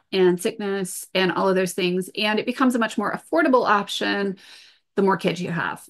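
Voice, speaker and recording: A woman's voice: medium pitch, fairly bright tone. A middle-aged woman with a mid-range voice speaks as a guest in a conversational interview. She explains things in a practical, matter-of-fact way, drawing on personal experience. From Venezuela and Brazil. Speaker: podcast guest, entrepreneur.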